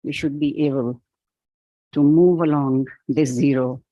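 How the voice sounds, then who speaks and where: A man with a Pakistani accent giving a reflective keynote talk, speaking in a measured, halting, philosophical way. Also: a little high, fairly even intonation, dark tone, no room sound, highs cut off. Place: event hall.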